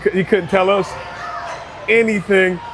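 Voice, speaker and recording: Earnest high-voiced man from Barbados. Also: steady tone, measured pace, noisy background. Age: 30s. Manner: earnest, repeats and restarts words. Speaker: youth basketball coach. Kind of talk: casual interview conversation.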